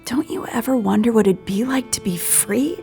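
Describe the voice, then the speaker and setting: Woman as an eager, high-voiced house cat. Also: normal speed, vocal fry, warm tone, faint music behind. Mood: restless. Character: restless, ambitious young female house cat.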